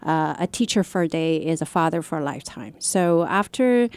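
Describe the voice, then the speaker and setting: A middle-aged woman from China, a guest professor, talks on a radio show in a lowish, dry-sounding voice. She speaks earnestly and restarts her sentences often. Her first language is Chinese. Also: animated, normal speed, a little mellow, clear, resonant tone, no room sound. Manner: earnest, many restarts and fillers. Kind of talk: radio interview.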